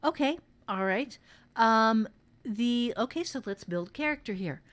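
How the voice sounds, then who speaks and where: Slow-paced woman teaching on a podcast. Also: fairly high pitch, expressive. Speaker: fiction writing teacher and author. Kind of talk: casual podcast teaching conversation.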